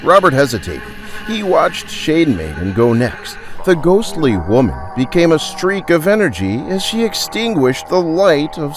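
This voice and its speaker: Male storyteller for audio fantasy drama: theatrical, lively mid-range delivery, building suspense, over a light music bed. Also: medium pitch. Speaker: narrator. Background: background music.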